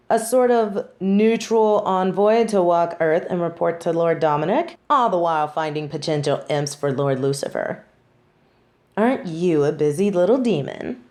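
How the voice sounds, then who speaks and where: A woman's voice: lowish voice, a little mellow, natural voice. A woman playing a haughty, sardonic young female judge in a dramatic audio roleplay, speaking in formal, imperious, theatrical phrases. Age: young adult.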